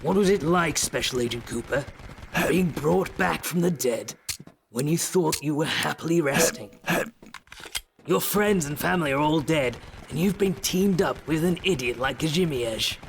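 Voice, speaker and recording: A man's voice: fairly high pitch, lively intonation, moderate pace, creaky, slight background noise. An actor playing a smug, taunting male traitor who savours his words theatrically in a sci-fi audio drama. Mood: gloating.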